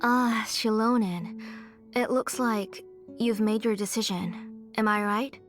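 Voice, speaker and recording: A woman playing a caring but stern older auntie in a video game, measured and earnest. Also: fairly high pitch, soft background music. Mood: solemn. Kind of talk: video game dialogue acting.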